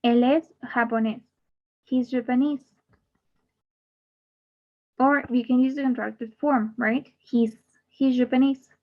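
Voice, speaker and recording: Slow, patient woman teacher. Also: high voice, steady tone. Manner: slow, repeats each example then translates it. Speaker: English teacher. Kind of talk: bilingual grammar lesson. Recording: muffled lo-fi audio.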